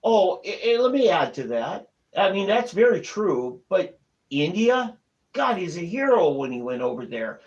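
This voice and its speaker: A man's voice: sits high, big pitch swings, measured pace. A man co-hosting a political talk show, chatting casually and emphatically as he agrees and adds his own points. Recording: muffled lo-fi audio.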